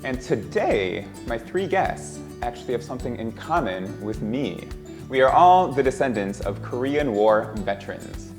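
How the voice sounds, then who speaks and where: A man's voice: medium pitch, sing-song, measured pace, over music. A young man from the United States hosting a quiz show in upbeat, joking banter.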